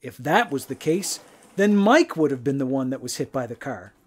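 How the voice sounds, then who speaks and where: A man acting as a calm, shrewd investigator in a radio mystery drama, questioning and explaining in a measured way. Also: mid-range voice, husky. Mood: confident, explaining. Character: calm, shrewd male investigator who closes in on culprits.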